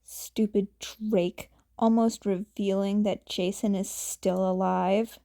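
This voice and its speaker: Slow-paced woman reading a story. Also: mid-range voice, fairly even intonation, vocal fry, warm tone. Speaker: amateur fan fiction reader.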